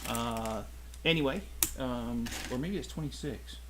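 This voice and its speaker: A man's voice: medium pitch, measured pace, a bit bright. Middle-aged man, casual live-stream host, rambling, self-correcting, chatty with viewers, background noise and typing. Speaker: toy channel host. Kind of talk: casual live-stream chat while building. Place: home, rural.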